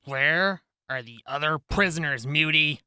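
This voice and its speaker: A woman's voice: deep voice, a little slow, sharp tone, clean tone, no echo, studio quality, exaggerated voice. A woman playing an anxious, earnest deputy, ready for trouble, in a post-apocalyptic radio drama. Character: anxious, trigger-ready deputy named Carter.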